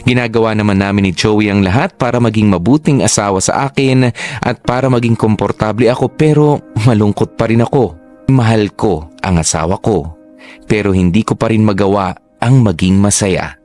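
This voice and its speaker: A man's voice: lowish voice, moderate pace, darkish tone, soft background music. A man giving scripted, sentimental dramatic narration as the letter reader in a Filipino radio drama.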